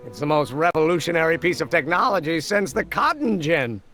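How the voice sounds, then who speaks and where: A man's voice: on the high side, animated, fairly quick, pushed and bright, soft background music. An actor playing an eager, earnest young male inventor who explains things in wordy, old-fashioned terms in a video game. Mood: grandly enthusiastic. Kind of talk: animated video game dialogue.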